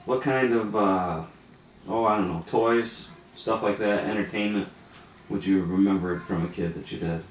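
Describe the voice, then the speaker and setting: A man's voice: on the low side, normal speed. A man interviewing a relative, encouraging and chatty, with many short acknowledgements. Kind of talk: family interview. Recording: echoey room, mic at a distance, faint noise.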